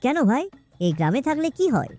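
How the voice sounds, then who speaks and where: A woman's voice: high voice, sing-song, quick pace, dark tone, soft background music. A woman voicing a lazy, grumbling village husband in a cartoon. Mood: pleading. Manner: whining, grumbling. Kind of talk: cartoon voice acting.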